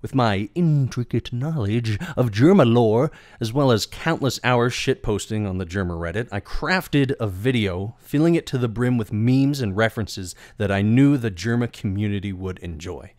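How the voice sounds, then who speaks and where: A man's voice: medium pitch, normal speed, few pauses, a little mellow, dry recording, clean studio sound. A man narrating a scripted story for his videos in a theatrical, wordy storytelling manner. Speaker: video creator and voice actor.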